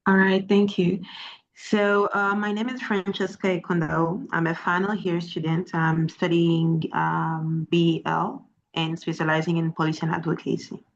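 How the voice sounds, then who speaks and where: A woman's voice: lowish voice, flat delivery, unhurried. A young woman, a student, speaking hesitantly over an online call. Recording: dull lo-fi online call audio.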